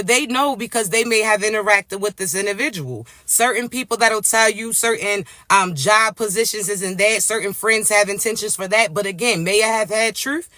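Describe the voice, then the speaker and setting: A man with a raspy, allergy-congested voice giving a live spiritual reading, addressing listeners directly and checking in with "all right?" often. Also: high voice, pushed and bright, dry recording. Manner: emphatic, repeats "all right?" and "right?" tags. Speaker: spiritual reader.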